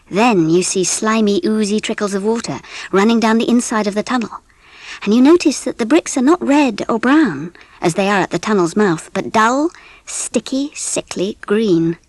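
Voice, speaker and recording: A woman's voice: mid-range voice, dry recording. A woman with an English accent narrating a children's storybook as a scripted audiobook.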